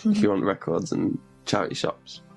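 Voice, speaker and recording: A man's voice: on the low side, wildly swooping pitch, moderate pace, gravelly, a little mellow, soft background music. A young man, laid-back and dry. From Derbyshire, England. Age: 20s. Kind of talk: casual interview.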